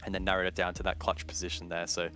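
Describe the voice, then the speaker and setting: A man's voice: low-pitched, monotone, very fast, bright, forceful tone, faint music behind. A man giving live esports colour commentary, breaking down tactics and odds and lifting sharply on big plays. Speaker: esports analyst caster.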